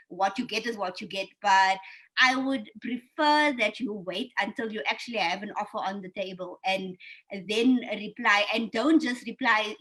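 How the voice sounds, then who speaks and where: A woman's voice: on the high side, a bit bright, low-quality recording. Direct woman giving career advice. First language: Afrikaans. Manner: direct, advising, speaks in frequent restarts. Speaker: panel speaker on careers. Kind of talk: online panel advice talk.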